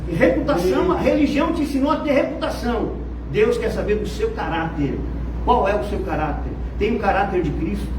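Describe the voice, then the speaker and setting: Brazilian pastor preaching hard, voice way up high, far from the mic in a roomy church. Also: male voice. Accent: Brazilian Portuguese. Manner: fervent preaching, swinging between low murmurs and shouts. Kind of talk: sermon. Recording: echoey, distant, noisy church hall.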